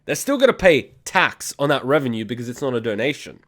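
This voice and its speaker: A man's voice: medium pitch, big pitch swings, a little fast, fairly bright tone. A man, bluntly ranting and swearing as host of a reaction video. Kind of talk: casual reaction commentary.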